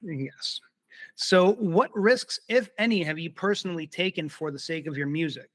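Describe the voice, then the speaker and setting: A man hosting a podcast interview, casually chatting and agreeing warmly with his guest. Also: fairly high pitch, moderate pace. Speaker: podcast host.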